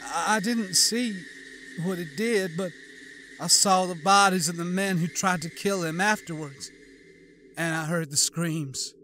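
A man's voice: high-pitched, measured pace, a little hiss or hum, voice pushed up. Man voicing a grief-mad woman scientist. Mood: grieving. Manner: cold, snarling, breaking into shouts.

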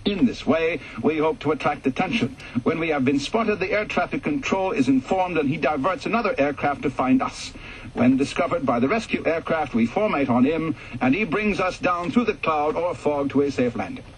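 A man voicing a brisk male air force briefing officer who reads out emergency procedure in a formal, instructive way. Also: a little high, lively intonation, slight background noise, pitched above the performer's own voice, close to an everyday voice, poor audio. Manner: crisp, instructive, formal delivery.

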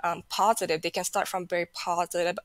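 A woman's voice: lowish voice, level tone, brisk, no room sound. A woman whose first language is Japanese, talking casually as a guest on a video call, rambling with many fillers. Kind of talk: casual chat.

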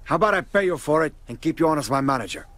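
A man's voice: fairly high pitch, lively intonation, very fast, a bit bright. A man voicing a brash, profane Cuban drug lord in a video game cutscene, with a swaggering Cuban accent. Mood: confident dealmaking.

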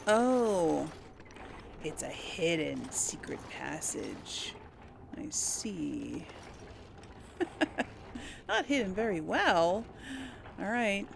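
A woman's voice: on the low side, sing-song, very slow, gravelly, pauses often, noisy background. A woman giving casual role-play commentary on a video game, informal and musing aloud. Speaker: gaming channel host.

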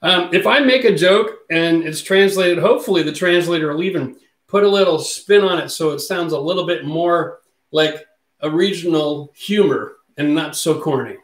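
Man, forties, livestream host, chatty and jokey, slightly high voice, echoey room. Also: moderate pace. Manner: chatty, jokey, reads out viewer comments. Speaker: channel host. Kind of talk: casual livestream chat with viewers. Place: home studio room. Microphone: studio mic on boom arm.

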